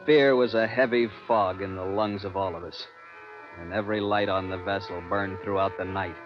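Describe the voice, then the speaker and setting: A man's voice: sits low, steady tone, moderate pace. A man narrating a suspense story in first person in old radio drama style, tense and grave. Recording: dull old radio recording with music.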